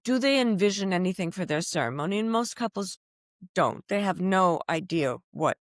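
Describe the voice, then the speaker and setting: A woman's voice: fairly low pitch, moderate pace, no room sound, studio quality. A middle-aged woman from Belgium, chatting expressively as a podcast guest. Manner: expressive, chatty, restarts a lot. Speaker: wedding officiant guest. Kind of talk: casual interview chat.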